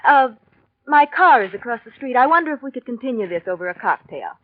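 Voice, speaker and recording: An actress playing a seductive, secretive, self-assured art patron with a coy, measured delivery in a 1940s radio drama. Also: female voice, on the high side, very animated, no echo. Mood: coy, insinuating. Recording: dull lo-fi 1940s radio recording.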